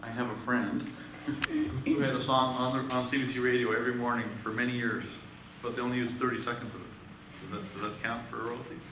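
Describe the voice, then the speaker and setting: A man's voice: medium pitch, level tone, fairly quick. A man in the audience asking a casual question. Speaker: audience member. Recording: echoey room, distant mic, noisy.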